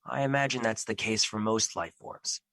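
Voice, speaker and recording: A man playing Fear as an earnest, protective personified emotion in an audio drama, with formal, measured phrasing. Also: lowish voice, fairly bright tone, dry recording.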